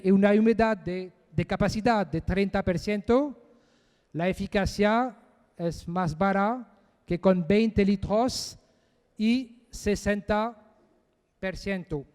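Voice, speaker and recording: A man giving a technical conference presentation, speaking haltingly as he searches for words in a second language. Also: sits high, darkish tone, smooth, long reverb tail.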